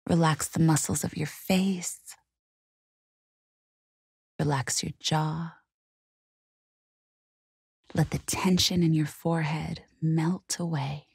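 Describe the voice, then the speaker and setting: Slow, low-pitched woman guiding meditation. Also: vocal fry, breathy edge, no room sound, clean studio sound. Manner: calm, gentle, soothing, measured delivery. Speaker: meditation guide. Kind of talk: guided meditation narration.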